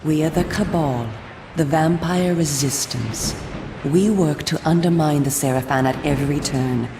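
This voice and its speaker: An actress voicing a solemn, coolly persuasive female vampire resistance agent in a video game, speaking in a formal, measured, theatrical way. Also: low-pitched, reverberant, noisy background. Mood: earnest, grave. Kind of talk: scripted video game cutscene acting.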